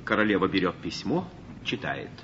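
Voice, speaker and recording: A man giving measured, formal scripted narration of the action in a stage play. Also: deep voice, very animated, pushed and bright. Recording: muffled old broadcast, faint hiss.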